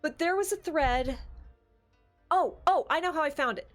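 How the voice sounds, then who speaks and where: A high-pitched woman talks casually as a podcast co-host about tabletop gaming. She is animated and opinionated, as if thinking out loud among friends. Faint music plays behind her. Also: normal speed.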